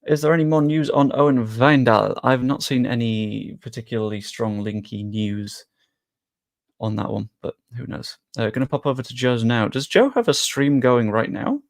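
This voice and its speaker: A man's voice: medium pitch, normal speed, creaky. A young Englishman chatting easily on a live stream, answering viewer questions with loose British slang. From England. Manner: chatty and easygoing, with frequent fillers and British slang.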